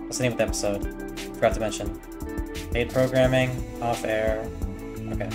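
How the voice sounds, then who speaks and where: A man's voice: on the low side, fairly even intonation, normal speed, music in the background. A young man giving casual, off-the-cuff reaction commentary from a small room indoors. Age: young adult. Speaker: reaction video host.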